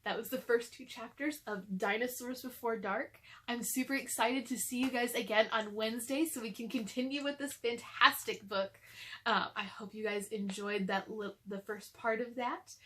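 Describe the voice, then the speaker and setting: A woman's voice: on the high side, moderate pace, bright, forceful tone, slight background noise. A woman hosting a children's read-aloud, narrating a storybook expressively.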